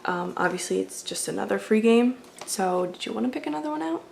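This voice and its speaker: Creaky-voiced woman as a game shop clerk. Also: mid-range voice, expressive, a little fast, darkish tone, a little hiss or hum. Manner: casual, polite, apologetic. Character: friendly, accommodating young female video game store clerk. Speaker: ASMR roleplayer playing a game shop clerk. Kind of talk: ASMR roleplay, casual customer-service chat.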